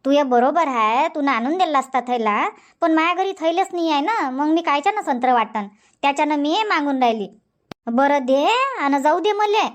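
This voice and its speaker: A woman's voice: high-pitched, animated, normal speed. A woman voicing a bossy, chatty village farm woman in a cartoon comedy, insistent and scolding, with a Varhadi Marathi accent from Vidarbha. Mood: matter-of-fact, pressing. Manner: insistent, scolding, rhetorical questioning.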